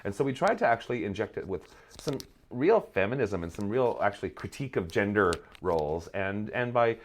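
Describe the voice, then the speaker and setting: A man's voice: lowish voice, big pitch swings, brisk, a little hiss or hum. A man who works as a playwright and performer, chatting casually as a podcast guest, wry and digressive, correcting himself as he goes. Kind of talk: casual interview chat.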